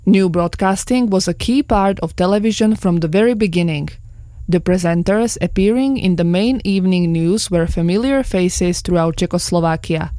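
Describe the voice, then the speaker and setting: A woman's voice: on the low side, no room sound. Woman reading a radio program. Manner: measured, even delivery. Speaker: radio presenter. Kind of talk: scripted broadcast narration. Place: radio studio.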